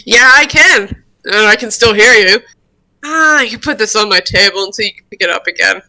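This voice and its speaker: A woman's voice: medium pitch, lively intonation, moderate pace, bright, forceful tone, rough recording. A woman voicing an earnest, friendly male cartoon devil, chatty and a little hesitant, in an amateur roleplay. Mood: cheerful, proud. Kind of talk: amateur roleplay read-aloud of a cartoon character.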